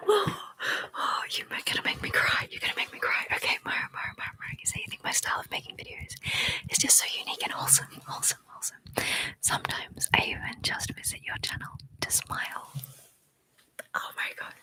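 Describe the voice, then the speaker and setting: A young woman, an ASMR creator, whispering as she rambles playfully, giggles and reads out viewer comments. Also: pushed and bright.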